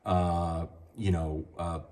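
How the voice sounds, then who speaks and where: A man with a slightly low voice walks a small group through mixing sound at a church mixing desk. He talks into a handheld mic in a relaxed, explanatory way, pausing often to check that they follow. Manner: casual, explanatory, speaking into a handheld mic while adjusting a mixing console. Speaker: audio trainer. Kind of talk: hands-on sound desk tutorial. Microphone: handheld microphone.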